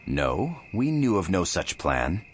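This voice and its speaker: An actor playing a wary, earnest rebel fighter in a video game, with a questioning, dramatic manner. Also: male voice, low voice, big pitch swings, slow, slight background noise, exaggerated voice. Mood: puzzled. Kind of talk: video game cutscene acting.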